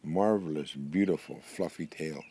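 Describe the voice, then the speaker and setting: A man's voice: a bass voice, measured pace, warm tone, slight background noise. A man voicing a vain possum who brags and savors each word in an oral animal folktale. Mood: boastful, vain. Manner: bragging, savoring each adjective. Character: vain boastful possum flaunting his fluffy tail.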